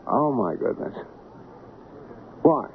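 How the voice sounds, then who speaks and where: An actor playing a guarded, genteel shipping boss in an old radio mystery, formal, deliberate and halting. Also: male voice, mid-range voice, very animated, creaky, full character voice. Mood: polite, guarded. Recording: narrow, noisy old radio broadcast.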